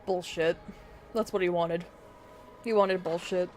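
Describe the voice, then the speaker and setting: A woman's voice: on the low side, fairly even intonation, unhurried, a little mellow. A woman livestreamer casually reacting as she plays a video game, with an informal, sweary way of talking. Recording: clear background noise.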